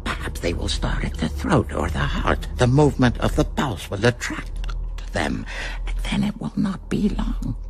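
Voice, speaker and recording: An actor playing a cold, grandiose, sadistic villain in a radio drama, formal and deliberate, with menacing politeness and a gravelly voice. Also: male voice, medium pitch, wildly swooping pitch, slight background noise, full character voice. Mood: imperious scorn. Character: cold, sadistic, grandiose male villain toying with his captive. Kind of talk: theatrical radio drama acting.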